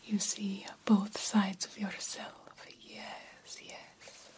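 A woman playing a vampire doctor in an ASMR roleplay, guiding a young fledgling with formal, deliberate, hypnotic instructions. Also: mid-range voice, little pitch movement, breathy edge, full character voice. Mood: intent, encouraging. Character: stern yet encouraging female vampire doctor mentoring a fledgling.

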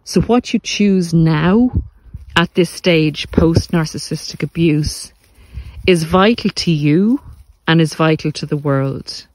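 A man giving a motivational spiritual talk as a podcast host, speaking earnestly and deliberately, with repeated phrases for emphasis. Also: a little high, a little mellow, dry recording, low-quality recording. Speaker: podcast host, narcissistic abuse recovery coach.

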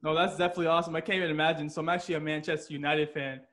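American male interviewer, friendly chatter, voice a bit higher than average. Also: little pitch movement, rapid-fire, a bit bright, a little hiss or hum. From United States. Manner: chatty, laughs often, lots of "like" fillers. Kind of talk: casual interview.

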